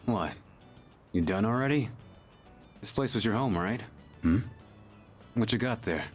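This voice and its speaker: An aloof young tough guy from a video game. His voice is low and gruff, with curt, muttered lines that hide a soft spot. Music plays faintly underneath. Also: animated, unhurried. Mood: gruff, caring. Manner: curt, muttering, laid-back. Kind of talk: English video game voice acting.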